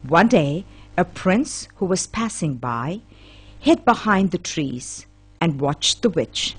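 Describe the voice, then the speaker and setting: A woman's voice: lowish voice, big pitch swings, slow, husky. A woman narrating a children's fairy tale as a scripted storyteller.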